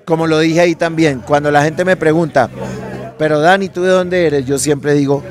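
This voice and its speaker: A middle-aged man, a merengue singer being interviewed on a handheld mic outdoors, animated and joking. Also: fairly high pitch, moderate pace, a faint crowd. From Colombia. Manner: animated, jokey, laughs between phrases. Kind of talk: casual interview. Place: outdoor patio at an event. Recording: outdoor event with music behind.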